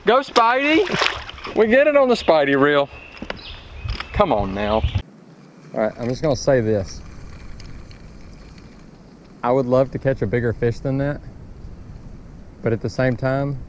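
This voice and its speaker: A man with a mid-pitched voice chats casually as a fishing vlogger. He tends to repeat his short exclamations. The recording is made outdoors on a boat, with faint water and engine noise behind him. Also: measured pace, long pauses. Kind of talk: casual chat while fishing. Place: outdoors on a bass boat on a small lake.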